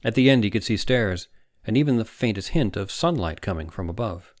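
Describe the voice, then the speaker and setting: A male audiobook narrator with a low voice reads fiction dramatically. His phrasing is deliberate and suspenseful, and the recording is close and dry. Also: lively intonation, dry recording.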